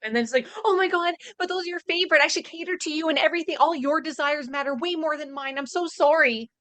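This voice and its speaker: A woman's voice: high voice, fast, fairly bright tone, dry recording. A woman co-hosting a podcast with casual, sarcastic reaction commentary, often mimicking others mockingly. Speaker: podcast co-host.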